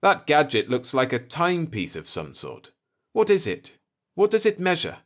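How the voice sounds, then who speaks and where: A man's voice: fairly high pitch, huge pitch swings, normal speed, fairly bright tone, pitched above the performer's own voice. A man voicing an eager, brash human explorer, emphatic and argumentative, in a science fiction story. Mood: curious, probing.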